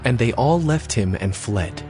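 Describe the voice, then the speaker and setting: Man, medium pitch, scripted Bible storytelling, musical backing. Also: expressive, unhurried, over music. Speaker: narrator.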